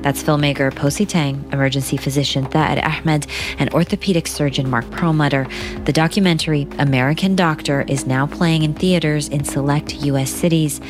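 A woman hosting a radio news program, interviewing guests and presenting in a measured, composed way. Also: low voice, steady tone, over music. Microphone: studio mic.

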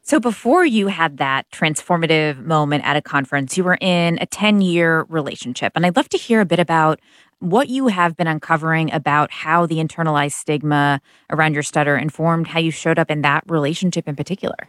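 A woman hosting a radio interview show, reading measured intros and asking questions. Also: low-pitched, fairly bright tone, no echo, studio quality.

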